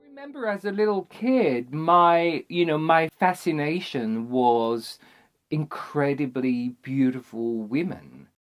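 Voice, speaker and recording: Man, slow and hesitant, reflective; faint music bed. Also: a little high. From France. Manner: hesitant, thoughtful, with many pauses. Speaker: performance artist. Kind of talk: personal recollection.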